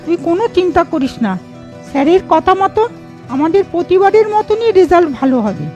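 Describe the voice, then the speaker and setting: Woman as a cartoon little sister of college age, extremely high voice, earnest, hesitant, dull lo-fi sound. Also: lively intonation, brisk. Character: anxious, earnest college-girl younger sister. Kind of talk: children's cartoon story dialogue. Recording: dull lo-fi audio over music.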